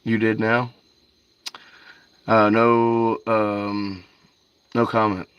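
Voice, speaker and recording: A man with a fairly low voice hosts a fishing livestream late at night. He talks in a relaxed, rambling way, reads out viewers' names and says "dude" often. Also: extremely slow, smooth, no echo, muffled recording. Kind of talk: casual livestream chat with viewers. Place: outdoors by a canal at night.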